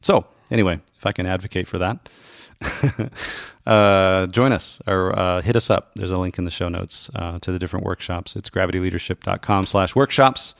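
A man with a slightly low voice and noticeable creak, recorded close and dry. He hosts a podcast in a casual, informal way, talking in halting phrases with little asides. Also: steady tone, a bit bright, no room sound. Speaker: podcast host.

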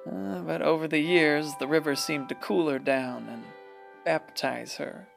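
High-pitched reflective male storyteller. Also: steady tone, a little slow, vocal fry. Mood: wry, fond. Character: reflective, wry small-town narrator reminiscing. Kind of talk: radio play narration. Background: music.

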